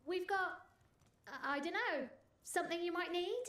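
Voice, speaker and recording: An actress playing a vague, indifferent shop clerk in a video game, hesitant and offhand. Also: female voice, very high voice, normal speed, a bit bright. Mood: unsure, indifferent. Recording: roomy echo, faint ambient noise.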